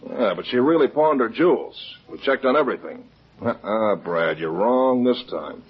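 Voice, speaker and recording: A police lieutenant in an old radio crime drama, blunt and sure of himself. His husky voice swoops up and down through clipped phrases. The vintage recording sounds dull and muffled. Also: medium pitch, lively intonation, moderate pace. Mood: impatient, certain. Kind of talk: 1950s radio detective drama acting.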